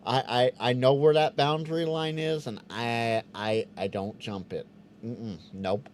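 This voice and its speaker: A man's voice: medium pitch, fairly even intonation, unhurried, slight background noise. A man hosting a live ham radio Q&A stream, chatting casually with viewers, often restarting his words and sounding out Morse code.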